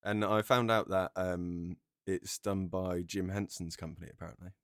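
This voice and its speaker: A man's voice: low voice, flat delivery, moderate pace, a bit bright, smooth, dry recording, studio quality. A man talking casually as a co-host in a podcast discussion.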